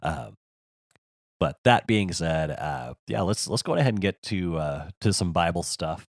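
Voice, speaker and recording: A man with a strained, hoarse voice that is giving out, chatting casually and haltingly as a podcast co-host, poking fun at himself. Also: deep voice, expressive, dry recording, clean studio sound. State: losing his voice. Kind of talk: casual chat.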